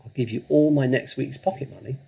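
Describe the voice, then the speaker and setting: A man's voice: medium pitch, steady tone, fairly quick, gravelly, dark tone, slightly rough, poor audio. A man voicing a clever, scheming young storybook girl in an exclamatory, theatrical manner. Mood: eager.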